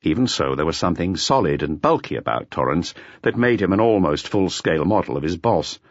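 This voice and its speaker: Husky, expressive male audiobook narrator. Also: deep voice, brisk, no room sound. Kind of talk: scripted dramatic narration. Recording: slightly dull, dry audiobook recording.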